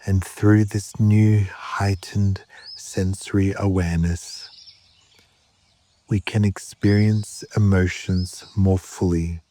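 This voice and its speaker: A man guiding a meditation in a calm, measured way, with short phrases, long pauses and a soft, at times breathy voice. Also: low voice, a little hiss or hum. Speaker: yoga nidra meditation guide. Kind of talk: slow guided meditation narration.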